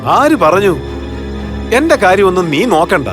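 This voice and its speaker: A man's voice: high voice, sing-song, moderate pace, music in the background. A man playing a weary, irritable, grumbling husband in a fairy-tale cartoon, with an exasperated, complaining manner. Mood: irritated.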